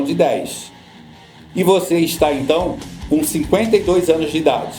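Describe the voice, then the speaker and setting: A middle-aged Brazilian man, a guest on a podcast, who explains pension rules patiently and often repeats his points to calm the listener. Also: on the high side, roomy sound. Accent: Brazilian Portuguese. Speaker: social security law guest.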